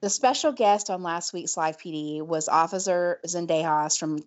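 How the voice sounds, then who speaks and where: A woman's voice: fairly low pitch, normal speed. A woman with a mild Southern US accent co-hosts a casual podcast. She talks in a loose, chatty way with easy laughs and plenty of fillers. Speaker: podcast co-host. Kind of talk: casual chat.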